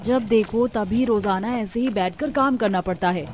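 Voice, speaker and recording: A woman's voice: mid-range voice, moderate pace, a little mellow, slight background noise, lightly acted. A woman plays a frustrated young daughter-in-law in a cartoon family story. She complains and exclaims, fed up with the household. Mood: grumbling. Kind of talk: Hindi cartoon story character voices.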